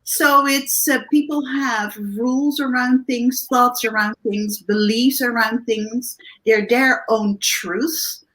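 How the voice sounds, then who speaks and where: Dutch accent lady on a radio interview, slightly high voice, lots of restarts and "right?". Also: slow pace, hardly any pauses, low-quality recording. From Netherlands. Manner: animated, thinks aloud with many restarts and "right?". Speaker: guest coach. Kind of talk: conversational interview answers.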